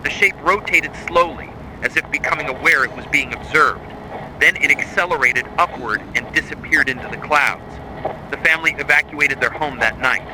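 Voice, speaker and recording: Man, somewhat higher-pitched, grave, measured, official horror broadcast narration, noticeable background noise. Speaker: narrator of a mock emergency broadcast. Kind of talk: scripted horror narration as official emergency bulletin.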